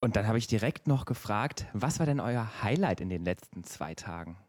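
A man working as a radio reporter, explaining his festival interviews in a relaxed, casual way. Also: on the low side, normal speed, no room sound.

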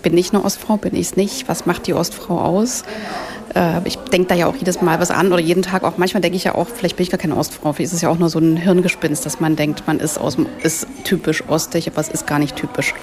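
A woman's voice: lowish voice, fairly even intonation, fast. A woman from eastern Germany answering interview questions in a thoughtful, searching way. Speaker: founder of a women's salon, interviewee. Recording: crowd chatter in the background.

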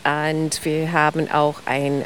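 A woman's voice: deep voice, flat delivery, slow pace, a little hiss or hum, low-quality recording. A woman who is not a native German speaker, explaining her subject to a radio interviewer with enthusiasm and small grammar slips. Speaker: ice cream historian guest.

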